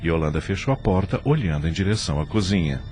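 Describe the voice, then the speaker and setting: A man narrating an audio drama from a script in an expressive, literary storytelling manner. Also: deep voice, fast, husky.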